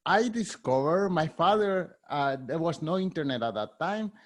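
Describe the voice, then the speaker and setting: Higher-voiced man in his fifties with a Spanish accent. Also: animated. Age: 50s. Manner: curious, candid, with a slightly halting delivery. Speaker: podcast host. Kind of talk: interview questions.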